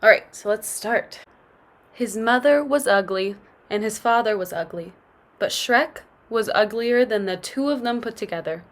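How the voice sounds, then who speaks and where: A woman teacher with a fairly high voice reads a children's storybook aloud. Her delivery is that of classroom narration, addressed to pupils. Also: normal speed.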